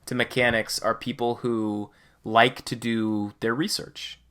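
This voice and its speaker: A man's voice: mid-range voice, flat delivery, unhurried, a bit bright, smooth. A man co-hosting a podcast, explaining things in a casual, conversational way. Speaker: podcast co-host.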